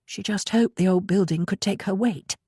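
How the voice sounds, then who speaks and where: A woman reading an audiobook as dramatic fiction narration, with expressive, measured storytelling. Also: medium pitch, husky, no echo, clean studio sound.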